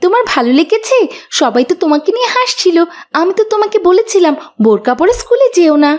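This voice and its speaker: A woman's voice: very high voice, sing-song, fast talker, a little mellow. A woman voicing a headstrong, vain little schoolgirl in a cartoon, whiny and defiant. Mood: teasing, questioning. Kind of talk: Bengali moral cartoon voice acting.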